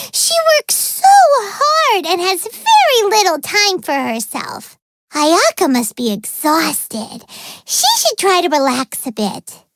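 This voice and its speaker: A woman's voice: extremely high pitch, no room sound, heavily acted. A woman voicing a tiny, childlike fairy companion in a video game, greedy, naive and dramatic, with an exclamatory, whiny manner. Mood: concerned. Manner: speaks of self in third person, exclamatory, whiny. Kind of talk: video game character dialogue.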